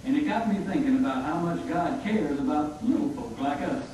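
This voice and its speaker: A man voicing a folksy, gentle frontier father in a puppet show, telling things earnestly. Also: on the high side, expressive, moderate pace, close to an everyday voice. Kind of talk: scripted church puppet show dialogue. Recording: echoey room, distant mic, faint noise.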